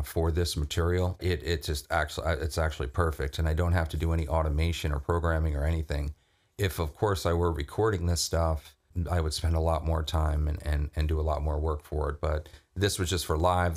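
A middle-aged man casually explaining his vocal effects chain in a home music studio, talking loosely with many fillers and restarts. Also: rumbling low. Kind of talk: casual screen-recorded tutorial. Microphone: studio condenser mic with headphones.

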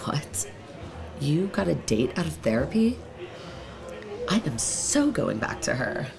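A brash flatmate. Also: female voice, low voice, slow, creaky, a crowd in the background, heavily acted. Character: brash party-loving female flatmate.

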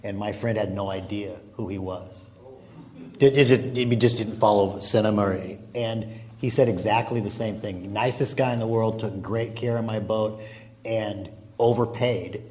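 A man's voice: on the low side, fairly even intonation, normal speed, darkish tone. A man, asking questions and chatting casually as an interviewer. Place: stage at a live panel table, indoors.

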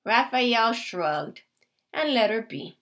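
A woman teacher reading a children's picture book aloud to her class. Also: fairly high pitch. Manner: slow, expressive storytelling.

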